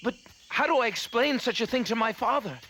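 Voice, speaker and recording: An actor playing an earnest, idealistic young prince in an animated adventure, speaking sincerely and formally with fervor. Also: male voice, extremely high pitch, a little fast, slight background noise, exaggerated voice, low-quality recording. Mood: fervent. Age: young adult. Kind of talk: animated adventure drama acting.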